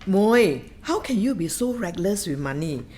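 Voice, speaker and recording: A woman acting a frugal, hospitable Singaporean housewife in a radio play, with a lively, chiding way of talking and Singlish particles. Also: medium pitch, unhurried, slight background noise, close to an everyday voice. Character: frugal, hospitable kampong housewife and mother hosting dinner.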